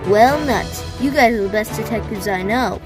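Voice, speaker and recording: A woman's voice: mid-range voice, normal speed, music in the background, natural voice. A woman voicing a cocky, casual young male hedgehog hero in an amateur cartoon comic dub, slangy and wry.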